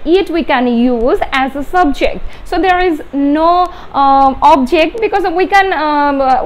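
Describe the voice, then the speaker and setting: A young woman teaching an English grammar lesson at a whiteboard, explaining haltingly and repeating herself. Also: high voice, slight background noise. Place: indoor room.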